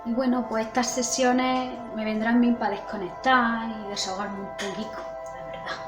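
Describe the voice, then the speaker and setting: A woman's voice: fairly high pitch, fairly even intonation, normal speed, close to an everyday voice. A woman playing a blunt, swearing nurse with a Murcian accent in a comedy acted over a video call. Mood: earnest. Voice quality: brash, earthy, swears freely. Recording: echoey room with music underneath.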